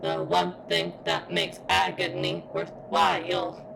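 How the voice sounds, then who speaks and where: A woman playing a sentient robot girl in an ASMR roleplay, speaking haltingly and deliberately as if in constant pain, earnest and devoted. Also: on the low side, sing-song, gravelly, background noise, full character voice. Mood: pained, brooding.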